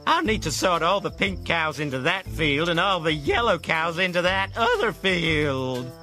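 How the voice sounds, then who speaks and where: A man's voice: a little high, very animated, moderate pace, bright, forceful tone, soft background music. A man voicing a tearful, flustered cowboy in a storybook tale. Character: weepy bumbling adult cowboy.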